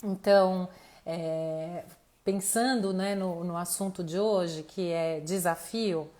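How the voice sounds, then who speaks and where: A woman's voice: fairly low pitch, slow pace, a little mellow. A woman with a Brazilian accent teaching informally as a mentor in an online live class, speaking directly to her audience and thinking aloud, with a slightly tired voice. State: voice tiring, losing it slightly. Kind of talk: informal motivational teaching to camera.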